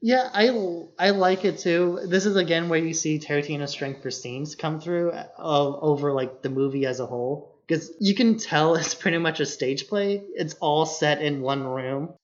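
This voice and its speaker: A man's voice: a little high, moderate pace, hardly any pauses, lo-fi sound. A man co-hosting a podcast in casual film discussion, very informal and peppering his talk with "like". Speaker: podcast co-host.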